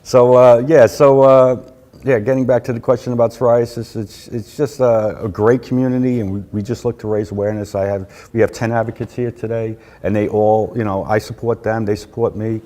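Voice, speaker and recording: A man's voice: mid-range voice, level tone, a little fast, warm tone. A middle-aged man from New York, a patient advocate chatting casually as an interview guest, with lots of fillers and easy laughs, on a lapel mic in a conference room.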